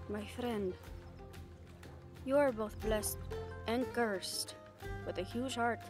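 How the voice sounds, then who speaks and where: A woman's voice: on the high side, takes it slowly. A woman playing a fierce, loyal catgirl pirate first mate in a roleplay audio drama, earnest and plain-spoken. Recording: voice over background music. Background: music.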